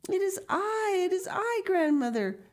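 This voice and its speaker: Woman playing an eager, high-voiced little girl. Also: very animated, unhurried, heavily acted. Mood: breathless joy. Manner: earnest, reassuring. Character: eager, affectionate young girl, reassuring and breathless.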